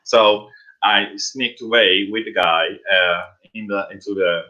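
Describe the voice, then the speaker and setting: A middle-aged man with a Dutch accent, a drag performer speaking English as a second language in a casual interview, halting and repeating words and laughing often. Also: lowish voice, sharp tone, dull audio. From Netherlands.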